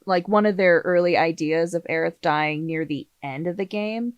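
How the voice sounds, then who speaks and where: A woman's voice: fairly low pitch, moderate pace, clean tone, dry recording, dull audio. A woman chatting casually as a podcast panelist, an enthusiastic and knowledgeable fan.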